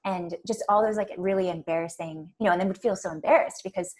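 A soft-spoken woman, a podcast guest chatting casually and rambling with many fillers. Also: fairly low pitch, fast. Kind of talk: casual chat.

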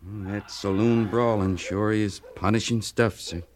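An American actor playing a respectful, folksy cavalry sergeant in a 1950s western radio drama, deferential and drawn-out in speech. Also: male voice, lowish voice, fairly even intonation, darkish tone. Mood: wry concern.

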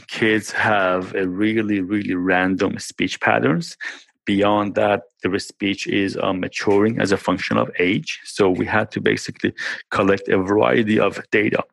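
A man's voice: fairly low pitch, steady tone, normal speed, vocal fry, no room sound. A man speaking English with a non-native accent, a startup founder explaining his technology in conversational interview answers, often saying "basically" and "right".

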